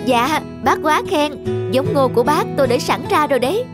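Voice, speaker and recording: A woman's voice: high-pitched, animated, fairly quick. A woman voicing a polite, enterprising young village man in a cartoon, earnest and courteous. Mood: confident, modest. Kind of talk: cartoon dubbing. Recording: music under the dialogue.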